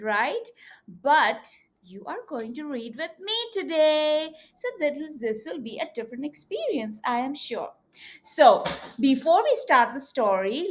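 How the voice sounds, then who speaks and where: A woman reading a picture book aloud to young children as a teacher, expressive and coaxing, with questions to the listeners and deliberate emphasis on words. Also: sits high.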